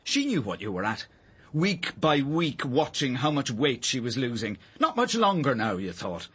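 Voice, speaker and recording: A man's voice: medium pitch, sing-song, moderate pace, fairly bright tone, breathy edge. An actor playing a bitter, hot-tempered British father in a radio drama, with a sarcastic, menacing manner. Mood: bitter, accusing.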